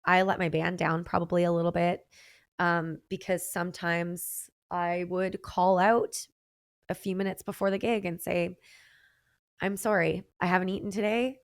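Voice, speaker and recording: A woman's voice: fairly low pitch, level tone, normal speed, dry recording, studio quality. A young adult woman, a singer and podcast host, talking candidly and reflectively in a casual personal monologue with frequent "like" and "um".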